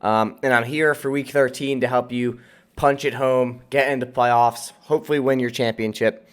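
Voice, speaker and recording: A man's voice: medium pitch, fairly even intonation, moderate pace, clear, resonant tone. An American man with a nasal, congested voice, sick as he hosts, giving casual, emphatic fantasy football advice to viewers. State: sick with a cold. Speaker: host of a fantasy football channel.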